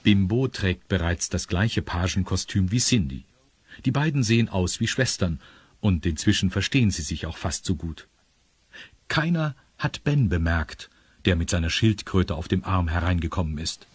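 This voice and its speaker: Male storyteller, deep and very raspy, dry close sound, narrating a children's audio drama. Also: expressive, normal speed, dry recording.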